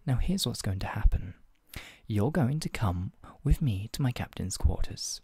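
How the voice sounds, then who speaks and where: A man's voice: fairly low pitch, expressive, measured pace, a little mellow, husky, no room sound, studio quality, heavily acted. A man playing a stern, menacing redcoat soldier in a scripted roleplay interrogation, with a clipped, authoritative, condescendingly polite manner. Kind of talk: ASMR roleplay, one-sided scripted dialogue.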